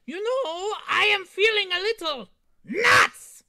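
A woman playing a smug, taunting villain in a theatrical, drawn-out way at a comedy table read. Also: very high voice, animated, vocal fry, bright, forceful tone, full character voice. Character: smug taunting villain, an old rival of a cop. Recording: video call.

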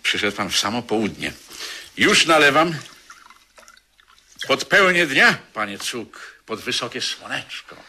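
An indulgent barman in a radio play, flattering and soothing. His raspy, bright voice takes its time and swoops widely in pitch. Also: measured pace, long pauses, full character voice. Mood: soothing, offhand. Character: placid, flattering barman humouring a drunk regular. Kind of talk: Polish radio drama acting.

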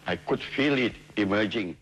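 A man's voice: on the low side, moderate pace, a bit bright, slightly rough, rough recording. A man reflectively recalling the spirit of an earlier time in an interview. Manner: reflective recollection.

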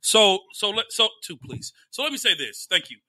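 Loud, teasing man with a very bright voice. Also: high voice, big pitch swings, moderate pace. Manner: loud, teasing, interrupts often. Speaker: podcast co-host. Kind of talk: casual sports banter.

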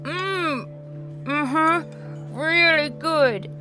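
A woman's voice: high-pitched, extremely slow, music in the background. An actress playing a prim, ancient girl in a nine-year-old's body, in an audio drama. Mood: curious. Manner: proper, old-fashioned formal phrasing.

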